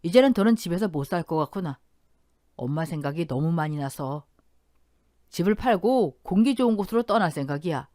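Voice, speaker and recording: A woman's voice: lowish voice, a little mellow, no echo, clean studio sound, close to an everyday voice. A woman voicing a remorseful, resigned old widowed father in radio story dialogue.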